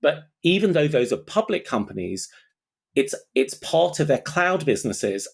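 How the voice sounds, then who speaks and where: A man's voice: on the high side, very animated, normal speed, vocal fry, slightly rough. A man speaking as an expert guest in an interview, explaining his thinking aloud step by step in a conversational way. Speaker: expert guest, technology analyst.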